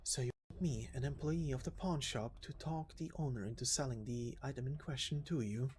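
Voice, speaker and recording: A woman's voice: a bass voice, brisk, darkish tone, slight background noise, pitched below the performer's own voice. A woman voicing a candid, wary, easily confused young female employee from a game story, hesitant and plain-spoken. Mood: puzzled. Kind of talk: dramatic game story reading.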